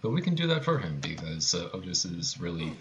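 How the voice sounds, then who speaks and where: A man's voice: deep voice, big pitch swings, fairly quick, fairly bright tone, highs cut off. A man giving casual live commentary on his own video game speedrun, with playful, joking asides.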